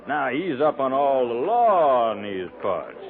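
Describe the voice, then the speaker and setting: An actor playing a cocksure, sardonic frontier buffalo hunter with folksy western phrasing in a 1950s radio drama. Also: male voice, mid-range voice, very animated, slow pace, a faint crowd. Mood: mocking. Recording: tinny, band-limited 1950s radio recording.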